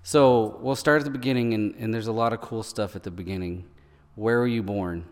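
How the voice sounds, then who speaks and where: A man's voice: on the low side, a little fast, clear, resonant tone, in a large hall. A man interviewing a guest, curious and encouraging, asking questions and answering with short affirmations. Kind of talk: casual interview.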